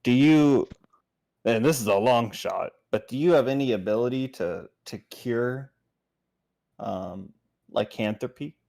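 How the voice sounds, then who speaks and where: A man's voice: mid-range voice, measured pace, creaky, a little mellow. A man chatting casually as a player in a tabletop game.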